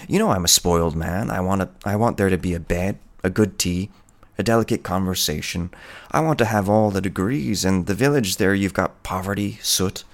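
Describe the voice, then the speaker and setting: A man voicing a proud, indifferent peasant hunter in a storybook, gruff, dismissive and boastful. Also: low voice, normal speed.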